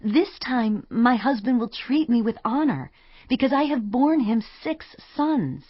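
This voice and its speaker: A woman's voice: fairly high pitch, lively intonation, measured pace, husky, no echo, lo-fi sound. An actress playing a grateful, proud wife and mother in a dramatized audio Bible.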